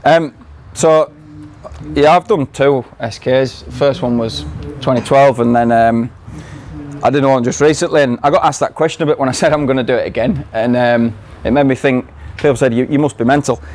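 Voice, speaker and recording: A man's voice: medium pitch, fairly even intonation, normal speed, a little mellow, a little hiss or hum. Yorkshire man with a kiwi-tinged accent, live audience talk, playful teasing delivery. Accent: Yorkshire English mixed with New Zealand. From Yorkshire, England. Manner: jokey, teasing, laughing through his lines. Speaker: guest speaker.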